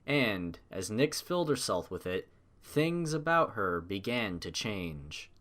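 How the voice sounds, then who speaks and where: Animated male story narrator. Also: fairly low pitch, slow. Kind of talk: scripted dramatic story narration.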